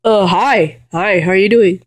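A young man with a high, boyish voice making a giggly prank phone call. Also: a little slow. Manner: giggly, mock-casual chatter. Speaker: prank caller.

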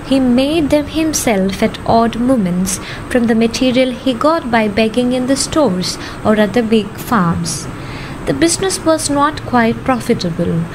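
A woman's voice: a little high, noisy background. A young woman reading scripted story narration for an amateur radio drama. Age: young adult.

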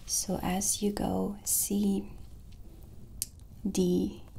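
A woman whispers slowly and gently, as in an ASMR roleplay. Her breathy voice is dark and mellow, with a soothing, intimate manner. There is clear noise behind her. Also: medium pitch, monotone. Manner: soft, slow, soothing, intimate. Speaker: ASMR host playing a witch shopkeeper. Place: small dim indoor set.

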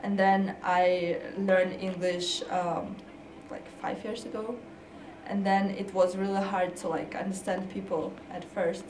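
Young woman, halting english, echoey room, mic kinda far. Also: on the low side, level tone, background noise. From Kazakhstan. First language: Kazakh and Russian. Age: young adult. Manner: halting, searching for words. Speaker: college tennis player. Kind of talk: casual interview. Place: office indoors.

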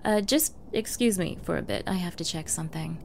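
A woman's voice: fairly low pitch, animated, a little fast, a little mellow. A woman playing a flustered, clingy young yandere in an ASMR girlfriend roleplay, with a coy, stammering manner and feigned ditzy innocence. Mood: coy, bashful. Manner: stammering, coy, eager, breathy asides. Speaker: roleplay voice performer. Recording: faint background noise.

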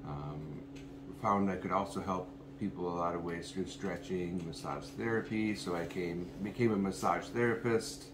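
A man from Minnesota with a very low voice. He tells his story haltingly, full of ums and restarts. The echoey kitchen carries a clear noise bed. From Minnesota, USA. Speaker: co-host, massage therapist. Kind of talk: casual personal story to camera. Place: home kitchen, indoors, small room. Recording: echoey room with background noise.

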